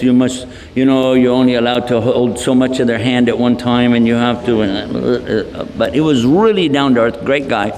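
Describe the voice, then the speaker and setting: A man's voice: medium pitch, darkish tone, slight background noise. Folksy Texan man lecturing in a hall. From Texas. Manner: folksy, joking, storytelling with asides. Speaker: Islamic lecturer. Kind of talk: humorous public lecture. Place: large multipurpose hall. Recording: reverberant hall from a distance.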